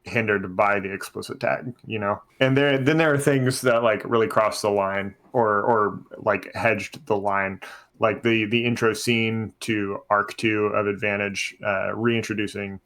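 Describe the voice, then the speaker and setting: A man with a fairly low voice hosts a casual podcast discussion. He talks in a conversational, halting way, doubling back on phrases as he thinks them through. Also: fairly even intonation, fairly bright tone. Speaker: podcast host; teacher.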